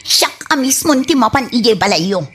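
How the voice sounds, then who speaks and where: A woman with an Ilocano accent playing an insistent, aggrieved pregnant mistress in a dramatic, deliberate radio comedy drama style. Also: a little high, expressive, noisy background, natural voice.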